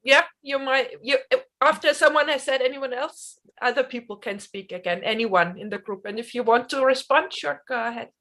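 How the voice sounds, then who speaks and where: A woman with a cold and a blocked nose, gently guiding an online conversation group with some hesitant fillers. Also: sits high, normal speed.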